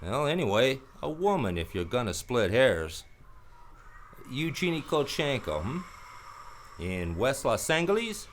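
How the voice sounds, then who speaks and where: A man's voice: mid-range voice, big pitch swings, measured pace, a little hiss or hum. A man playing a cynical, wisecracking hard-boiled private detective in a noir radio play, wry and slangy. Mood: breezy.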